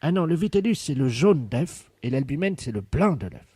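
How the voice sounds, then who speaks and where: A man playing a pompous scientist in a deadpan prank call, speaking solemnly and pedantically with deliberate articulation. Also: on the high side, husky, dry recording. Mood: solemn, instructive. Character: pompous male scientist investigating a virus outbreak for radio. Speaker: prank caller. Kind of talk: deadpan prank phone call with hushed radio commentary.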